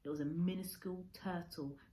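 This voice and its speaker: A woman reading a children's story aloud as a teacher, in expressive narration. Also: on the low side, a little fast. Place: indoor room with a window. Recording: echoey room with faint background noise.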